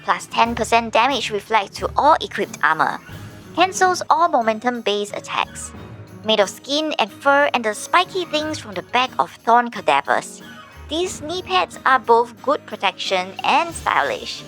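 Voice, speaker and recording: A woman's voice: on the high side, sing-song, measured pace, a bit bright, faint music behind. A woman voicing a showy game announcer reading out awards and item stats in a theatrical, snarky manner. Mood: brisk, snarky. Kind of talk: game system announcements, scripted.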